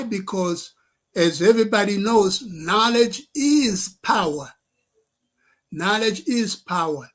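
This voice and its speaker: An older man whose first language is French gives a slow, deliberate address, repeating his points for emphasis. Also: high-pitched. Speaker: scholar and activist addressing leaders. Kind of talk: livestream address.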